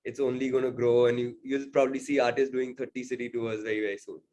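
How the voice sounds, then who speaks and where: A man's voice: mid-range voice, flat delivery, quick pace, dark tone. A man being interviewed as a singer-songwriter, talking casually and thoughtfully, hedging often with "sort of" and "I think".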